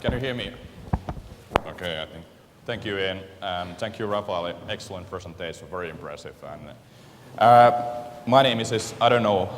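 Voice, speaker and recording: A man's voice: sits low, noisy background. A man from Finland with a Finnish accent, speaking as a guest speaker at a conference, hesitant as he searches for words in a second language. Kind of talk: conference presentation. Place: large lecture hall. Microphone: handheld microphone. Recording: reverberant lecture hall.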